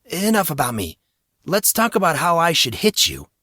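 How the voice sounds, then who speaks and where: A man's voice: fairly high pitch, huge pitch swings, moderate pace, pushed and bright, no echo, clean studio sound, pitched above the performer's own voice, exaggerated voice. A man voicing a flustered, defensive teenage schoolboy in an audiobook story, speaking in indignant casual slang.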